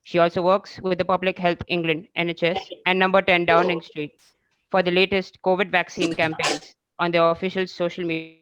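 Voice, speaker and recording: An event co-host reading a formal introduction of the speaker in a steady manner, over a video call. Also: medium pitch, brisk, smooth. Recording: dull, dry lo-fi call audio.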